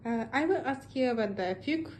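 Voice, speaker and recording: A woman's voice: on the high side, animated, a little fast, darkish tone, slight background noise. A woman interviewing someone, asking questions hesitantly with restarts. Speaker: student interviewer.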